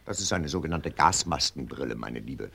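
An actor playing a pompous older colonel in a German radio drama, clipped, condescending and blustering. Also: male voice, a bass voice, expressive, a little fast, vocal fry, bright, forceful tone, husky, full character voice. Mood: brisk, prying. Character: pompous, brisk older colonel and family patriarch.

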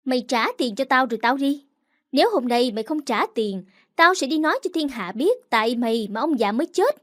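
A woman voicing a loud, greedy older neighbor woman who scolds and hurls abuse in an audio story. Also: expressive, fairly quick, dry recording, clean studio sound. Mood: accusing. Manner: scolding, shrill, abusive.

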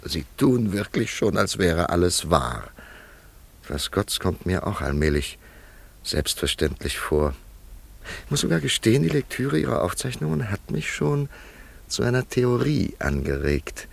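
Wry radio play sceptic: gravelly low voice, animated delivery, bemused and frank. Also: male voice, measured pace, heavily acted. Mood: teasing, musing. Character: wry, sceptical village man humouring an eccentric teacher. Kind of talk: German radio play dialogue.

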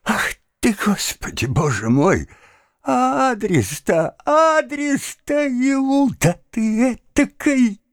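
A man's voice: very high-pitched, expressive, measured pace, smooth, no echo, studio quality, pitched above the performer's own voice. A man voicing an agitated clerk in an audiobook, a master who wheedles and repeats himself and splutters insults at his servant. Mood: exasperated, furious. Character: agitated, exasperated middle-class clerk master, pleading then raging. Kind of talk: dramatised audiobook of a classic novel.

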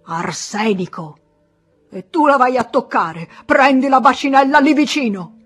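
A woman reading an audiobook voices a pompous, irate middle-aged male pharmacist, scolding loudly and with emphasis in a husky voice. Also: high-pitched, animated, measured pace, faint music behind, voice pushed up, full character voice. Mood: lecturing, stern.

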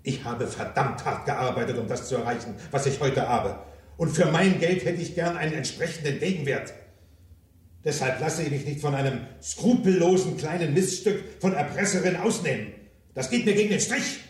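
A man's voice: on the high side, expressive, moderate pace, recorded from a distance, natural voice. Actor as swaggering, scheming businessman in echoey room. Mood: scheming, determined. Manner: blunt, swaggering, theatrically emphatic. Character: cynical, ambitious self-made businessman, scheming and coarse. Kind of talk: German crime radio drama acting.

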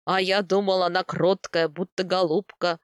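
A woman's voice: fairly low pitch, steady tone, measured pace, dry recording, clean studio sound, pitched below the performer's own voice. A woman voicing a stern older khan, a grave and formal father who speaks with authority in a fantasy audiobook. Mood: wry, amused. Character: stern older male khan, commanding, wryly indulgent father.

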